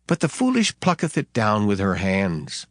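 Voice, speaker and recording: A man's voice: fairly low pitch, wildly swooping pitch, normal speed, no echo, studio quality. A man reading Bible verses in an emphatic, formal, dramatized style. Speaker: scripture reader. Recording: music behind the voice. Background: background music.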